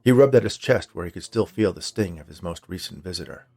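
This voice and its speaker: A man's voice: low voice, expressive, fast talker, darkish tone, husky. A man reading a scripted story as its narrator.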